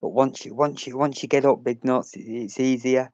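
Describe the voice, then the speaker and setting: A man's voice: mid-range voice, fairly even intonation, moderate pace, darkish tone, no echo, lo-fi sound. A man hosting a casual livestream, chatting and reading out messages from his chat, swearing now and then.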